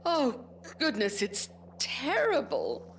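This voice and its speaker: An actress playing a suspicious, excitable elderly care home resident in an audio drama. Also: female voice, high-pitched, takes it slowly, a little hiss or hum, heavily acted. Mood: horrified. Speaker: voice actor.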